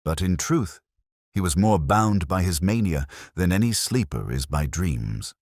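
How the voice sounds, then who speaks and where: A man voicing a grave, incisive detective in a mystery audiobook, speaking in measured, solemn, oratorical phrases. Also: deep voice, animated, a little mellow, dry recording, studio quality. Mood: reflective, solemn. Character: grave, incisive male detective explaining a case.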